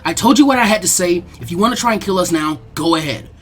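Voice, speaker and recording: A man voicing a brash, earnest teenage ninja boy who is loud, insistent and pleading in a fan fiction audiobook. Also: high-pitched, lively intonation, fast talker, slight background noise. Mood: defiant.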